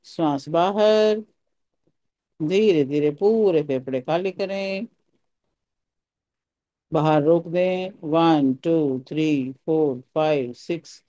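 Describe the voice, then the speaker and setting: A meditation teacher giving calm, soothing guided instructions in short phrases with pauses. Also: mid-range voice, dry recording.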